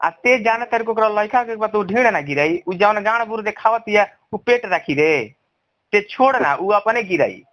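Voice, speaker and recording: A high-voiced man speaks with a Bhojpuri accent in a recorded phone call. He is blunt and scolding, with crude, profane language in a heated personal exchange. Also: lively intonation, normal speed, fairly bright tone.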